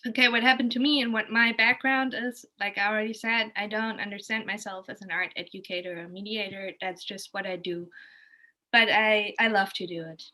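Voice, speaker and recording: A woman's voice: mid-range voice, fairly even intonation, brisk, pushed and bright. German woman w/ german accent just chatting, telling stories, kinda rambly, rephrases herself a lot. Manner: rambling, thinking aloud with frequent rephrasing. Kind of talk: casual conversational storytelling.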